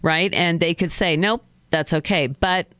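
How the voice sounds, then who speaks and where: A school board member talking in a casual interview, earnest and quick to laugh, with many small restarts. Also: mid-range voice, fairly bright tone, no room sound.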